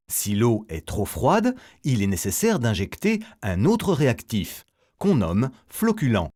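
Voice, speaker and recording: A male narrator delivers a scripted educational voice-over at a medium pitch. His intonation is highly animated, rising and falling widely as he explains each step in a didactic way.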